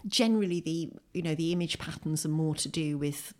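A woman's voice: sits low, a little fast. A middle-aged British woman talking conversationally as an interview guest, reflective and wry, with self-deprecating humour. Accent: British English. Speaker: poet and short story writer, podcast guest. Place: at home, indoors.

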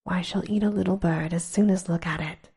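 Eager polite little girl, whispered, breathy, gravelly, put on by a woman. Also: on the low side, steady tone, brisk, mellow.

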